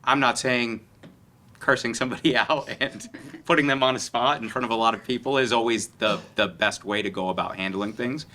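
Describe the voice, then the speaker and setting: A man's voice: mid-range voice, a little fast, pushed and bright. A man speaking earnestly as a panelist in a conversational discussion, often repeating words as he goes.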